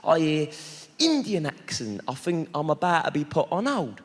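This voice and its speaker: A man's voice: on the high side, huge pitch swings, moderate pace. A man doing cheeky, chatty crowd work in a stand-up set on a theatre stage. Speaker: stand-up comedian. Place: large theatre stage with audience. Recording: live theatre with long reverb and crowd.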